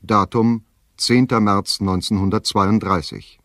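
A man's voice: on the low side, steady tone, unhurried, fairly bright tone, no echo, clean studio sound. A man narrating in an audio play, formally announcing documents. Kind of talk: formal announcement of a letter's sender and date.

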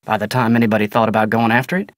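A man's voice: fairly low pitch, level tone, very fast, no room sound, clean studio sound, lightly acted. An actor playing a guarded, polite American ranch foreman in a video game, folksy, clipped and matter-of-fact. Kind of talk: naturalistic video game character dialogue.

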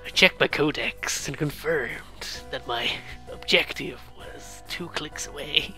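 A let's player giving casual, rambling game commentary with excitable, jokey asides and some reading of on-screen text. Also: medium pitch, slow, soft background music, rough recording.

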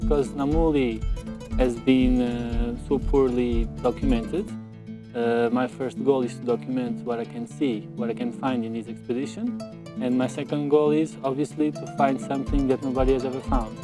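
A male ethologist speaking in a measured way in a documentary interview. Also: mid-range voice, level tone, over music.

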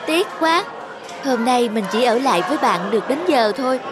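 A young woman playing a devoted, sentimental adult daughter in a cartoon drama, speaking in an expressive, earnest way. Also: on the high side, normal speed, close to an everyday voice. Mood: apologetic. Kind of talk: animated cartoon drama acting.

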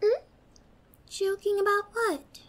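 A woman playing a young, obsessed, possessive girlfriend in an ASMR roleplay, sweet yet menacing, with a soft girlish voice and a coy, drawn-out delivery. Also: very high voice, flat delivery. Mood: coy, gentle. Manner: slow, coy, drawn-out, slipping into whispers.

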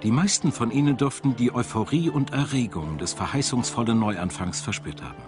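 A male documentary narrator whose pitch moves in a lively way over a music bed. He delivers scripted voice-over at a middle register. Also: a little fast. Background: background music.

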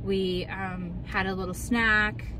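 A woman's voice: medium pitch, fairly even intonation, slow, background noise. A young woman vlogger chatting and ranting casually in a car, full of likes and ums. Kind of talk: casual chat.

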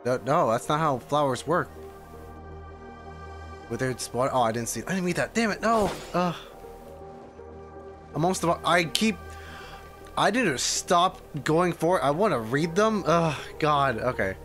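A man's voice: on the high side, animated, a little slow, pauses often, a bit bright. A young man giving casual gaming commentary, reacting aloud as he plays. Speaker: streamer reacting to a game. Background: game music.

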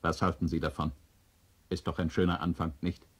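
Low, husky robot servant. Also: male voice, level tone, brisk. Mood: eager, reassuring. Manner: deferential, insistent. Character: devoted, eager male robot servant, unwittingly ominous. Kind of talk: 1970 German radio play acting.

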